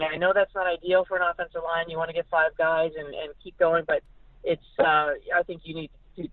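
A woman's voice: low voice, dry recording. American woman talking sport down a phone line. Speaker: sports reporter as podcast guest. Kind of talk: casual sports analysis. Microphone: phone call. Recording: thin, narrow phone-line sound.

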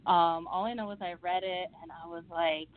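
A woman's voice: fairly low pitch, steady tone, normal speed. A young woman from the Midwest, an author being interviewed, talking in a chatty way with frequent laughs and fillers. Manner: chatty, laughs often, many fillers and restarts. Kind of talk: casual interview chat. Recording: phone-like narrow sound.

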